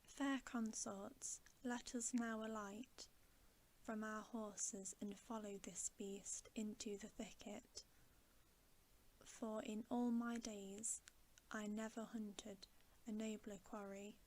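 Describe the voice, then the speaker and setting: A woman voicing a noble young king in a storybook, softly, with formal courtly phrasing. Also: mid-range voice, little pitch movement, slow, a little hiss or hum, lightly acted, low-quality recording. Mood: courtly, wondering. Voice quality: soft, hushed. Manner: stately, archaic courtly phrasing.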